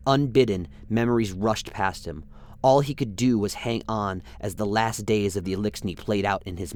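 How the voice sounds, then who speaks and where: A man narrating a science-fiction radio play in a dramatic, scripted storytelling style. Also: fairly low pitch. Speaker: narrator, lore reader.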